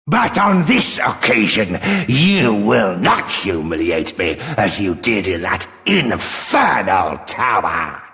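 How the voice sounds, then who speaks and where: A man's voice: fairly low pitch, big pitch swings, takes it slowly, creaky, hardly any pauses, sharp tone, full character voice. A man voicing a proud, vengeful villain in a video game, theatrical and menacing beneath mock courtesy. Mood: bitter menace. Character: proud, vengeful male villain returning for a rematch. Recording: long reverberant hall.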